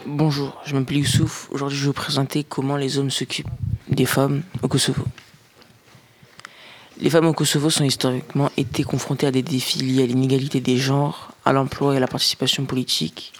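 Young man reading in a measured, flattish voice. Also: medium pitch. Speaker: guest presenting a testimony. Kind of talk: reading a prepared talk on radio.

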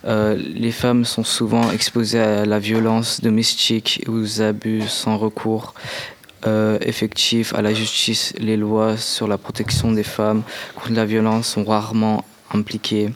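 A man's voice: fairly low pitch, monotone, unhurried, a little hiss or hum. A young man from Afghanistan reading a prepared talk on a radio show, hesitant, with many restarts and fillers. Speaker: guest presenting a testimony.

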